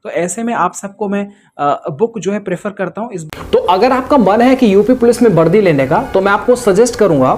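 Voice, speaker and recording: An exam-prep presenter with a mid-pitched voice talks students through things in an informal explainer style. The delivery is didactic, with rhetorical check-in questions along the way. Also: expressive, a little fast, few pauses, darkish tone, slight background noise.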